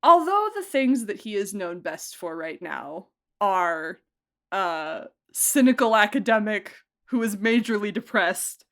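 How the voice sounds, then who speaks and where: A woman producer and director giving a casual interview, animated and laughing often as she gushes about the work. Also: mid-range voice, a little slow.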